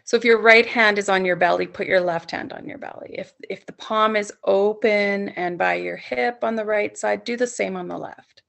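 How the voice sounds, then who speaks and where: A woman leading a guided sleep meditation as a yoga nidra instructor, speaking soothingly in gently coaxing, unhurried phrases with long pauses. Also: mid-range voice, fairly even intonation, vocal fry.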